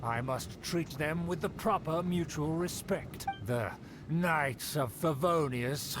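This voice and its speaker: A man's voice: on the high side, slow, background noise. A man playing a haughty, pompous, easily offended aristocrat in a video game, with formal, imperious diction. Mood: indignant, imperious. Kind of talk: video game character acting.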